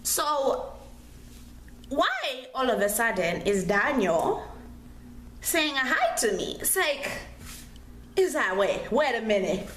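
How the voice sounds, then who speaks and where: A woman's voice: high-pitched, very animated, slow pace, long pauses, a bit bright. A young woman telling a personal story casually, in a chatty, slangy, dramatic way, repeating phrases for emphasis. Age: young adult. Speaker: storytime vlogger. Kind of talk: casual animated storytelling to camera. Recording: roomy echo, clear background noise.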